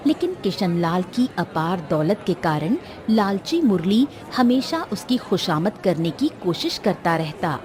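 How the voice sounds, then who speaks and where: A woman giving scripted narration for an animated moral story. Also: on the low side, unhurried, hardly any pauses.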